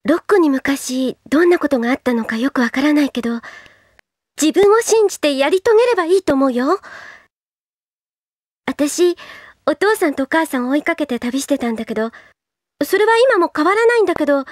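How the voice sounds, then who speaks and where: An actress playing a devoted, gentle teenage girl adventurer in an anime-style video game, sincere and tender. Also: high-pitched, animated, moderate pace, pauses often, dry recording, clean studio sound. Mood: tender, encouraging.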